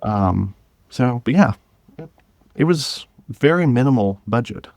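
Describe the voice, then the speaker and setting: A man's voice: medium pitch, animated, unhurried, gravelly, darkish tone, no echo. A man talking casually as an interview guest, thinking aloud and correcting himself as he goes. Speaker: guest, independent audio drama creator.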